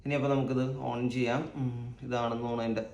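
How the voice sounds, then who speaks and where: A man's voice: mid-range voice, little pitch movement, rapid-fire, darkish tone. Man unboxing in an echoey room. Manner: unscripted, thinking aloud. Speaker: vlogger. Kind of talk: casual unboxing commentary. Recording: echoey room, faint background noise.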